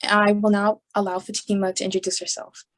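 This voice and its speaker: A woman's voice: medium pitch, flat delivery, fairly quick. A young woman reads out a prepared student presentation over a video call. She sounds composed and follows her script, with an ordinary mid-range voice. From New York. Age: young adult.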